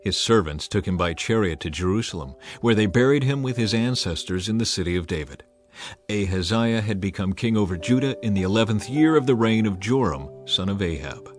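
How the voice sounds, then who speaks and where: A man reads a Bible story aloud in a fairly low voice, steady in the narration. He lifts his pitch and volume whenever he speaks for a character in the story. Soft music plays quietly under his reading. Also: fairly quick. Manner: acts out quoted speakers by raising pitch and volume. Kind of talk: dramatized scripture reading. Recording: soft music bed behind the voice.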